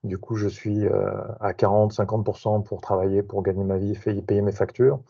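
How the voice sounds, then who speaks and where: Man, low and mellow, hesitant self-intro over a dull video call. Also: flat delivery, moderate pace, gravelly, breathy edge. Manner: hesitant, many fillers and restarts. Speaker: association member introducing himself.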